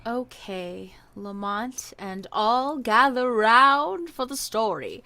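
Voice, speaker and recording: Young woman, unhurried delivery, lively storytelling, laughs often, casual podcast co-host. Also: fairly high pitch, a little hiss or hum. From Hawaii.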